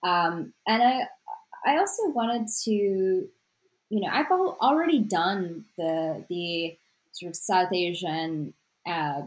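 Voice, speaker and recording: A woman from Sri Lanka with a slightly low, soft voice talks through her work in an interview. She is reflective and unhurried in thought, padding her sentences with fillers and restarts as she finds her words. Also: animated, rough recording. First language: Tamil. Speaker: author. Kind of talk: interview conversation.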